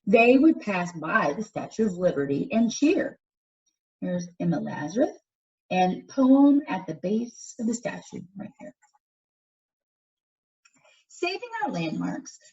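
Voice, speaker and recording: A woman reads a schoolbook aloud as a teacher would, with lively rises and falls in a soft-edged, mellow voice. Her phrasing is deliberate and instructional, and she sounds set back from a dull-sounding microphone. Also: medium pitch. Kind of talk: educational read-aloud of a textbook for children. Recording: dull, distant microphone pickup.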